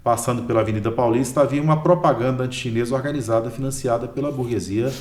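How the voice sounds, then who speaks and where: A man's voice: mid-range voice, fast talker, a little mellow. A man with a Brazilian Portuguese accent, a history professor explaining his work in an interview, who tags most sentences with a questioning "né?". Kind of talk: relaxed explanatory talk. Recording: roomy echo.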